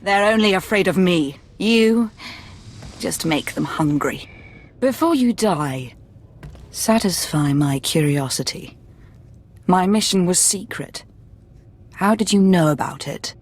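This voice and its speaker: A woman's voice: on the low side, lively intonation, slow pace, pauses often, full character voice. An actress playing a cruel Sith woman who keeps beasts, speaking in a cold, taunting way in a game cutscene. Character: cruel female Sith beast-tamer, gloating.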